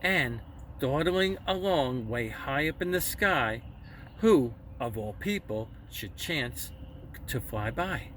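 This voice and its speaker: A man narrates a children's story in a slow, theatrical voice that swings widely in pitch. His phrasing is emphatic and deliberate, and the recording is a little distant with faint noise behind it. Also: animated, fairly bright tone. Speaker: storyteller reading a children's book aloud.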